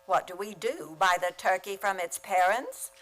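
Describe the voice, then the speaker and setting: A woman's voice: medium pitch, animated, normal speed, a bit bright, soft background music, natural voice. A woman playing a dry, wisecracking lady schoolteacher. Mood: sardonic. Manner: dry, sardonic quips. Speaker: actress in a radio play. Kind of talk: comedic radio play acting with narration.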